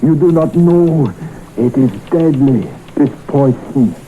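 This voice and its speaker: An actor playing a scheming husband in a radio mystery, speaking in a formal, measured, theatrical way. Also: male voice, medium pitch, expressive. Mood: pleading, insistent. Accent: put-on Middle Eastern, later English. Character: vengeful English husband posing as humble, devout Eastern merchant. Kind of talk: radio mystery drama acting. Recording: noisy, very muffled old radio recording.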